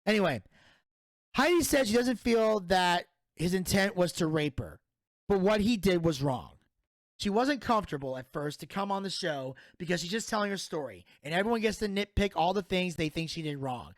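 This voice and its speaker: A man's voice: high voice, expressive, moderate pace, dry recording. A man in his thirties hosting a solo podcast, giving a blunt, profane and opinionated review. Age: 30s. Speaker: podcast host.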